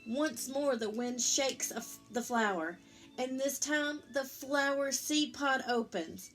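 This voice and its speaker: A woman's voice: sits high, slow pace, fairly bright tone, faint music behind. A woman, a teacher reading a picture book aloud to young children, with simple explanatory asides and questions for her listeners.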